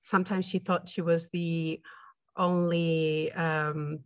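Woman, podcast host, lowish voice, upbeat, laughs often, dry close sound. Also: level tone, takes it slowly, clean tone, no echo. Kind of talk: podcast interview.

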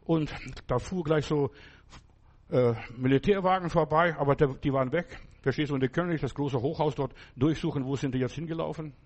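A man's voice: on the high side, fairly quick. An older man preaching a sermon as pastor, German with a Lithuanian accent, emphatic and speaking straight to the listener. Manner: emphatic, addresses listener directly. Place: church hall.

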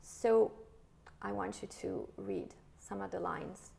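A woman's voice: medium pitch, takes it slowly, vocal fry, mellow. A middle-aged woman hosting a casual conversation, talking loosely with many fillers and prompts. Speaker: host. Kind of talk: casual interview chat.

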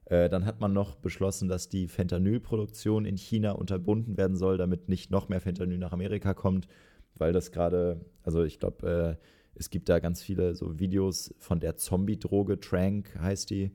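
A man's voice: sits low, little pitch movement, moderate pace, dark tone. A young man co-hosting a casual political chat podcast, joking and laughing often. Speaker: podcast co-host.

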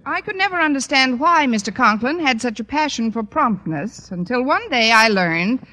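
An actress playing a wry, wisecracking high school English teacher in an old radio comedy, with dry, sardonic delivery. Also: female voice, normal speed. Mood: wry narration. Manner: dry, sardonic, deadpan quips. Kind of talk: 1940s-style radio comedy acting. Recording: noisy vintage radio recording.

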